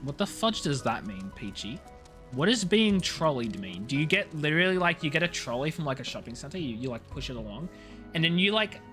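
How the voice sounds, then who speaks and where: A man's voice: fairly high pitch, pushed and bright. A man live streaming a video game, talking casually and jokily in slang with playful mock outrage. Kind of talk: casual chatty game commentary.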